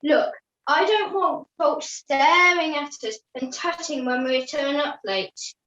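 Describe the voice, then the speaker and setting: Puppet show role: fussy, impatient busybody by a female performer, high voice, muffled echoey call. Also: sharp tone, full character voice. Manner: brisk, exasperated. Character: impatient, punctual puppet fretting about being late. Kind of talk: online puppet show acting.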